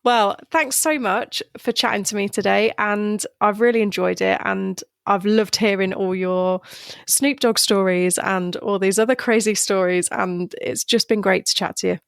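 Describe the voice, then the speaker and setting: A woman's voice: medium pitch, steady tone, moderate pace, gravelly, clear, resonant tone, no room sound, studio quality. A woman with a Yorkshire accent hosting a podcast, upbeat and encouraging as she chats with her guest. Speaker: podcast host. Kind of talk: casual interview chat.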